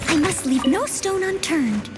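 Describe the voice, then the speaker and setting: A woman's voice: extremely high pitch, lively intonation, a little slow, over loud music. A woman playing an earnest, stubborn and principled archaeologist in a video game, speaking emphatically. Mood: earnest, insistent.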